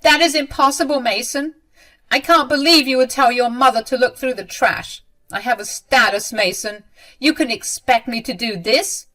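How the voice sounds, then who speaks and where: A woman's voice: medium pitch, lively intonation, a little slow, fairly bright tone. A woman voicing a cruel, haughty mother-in-law. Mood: indignant. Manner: haughty, scornful. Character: cruel, snobbish middle-aged mother-in-law, whining when cornered. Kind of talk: dramatized story narration.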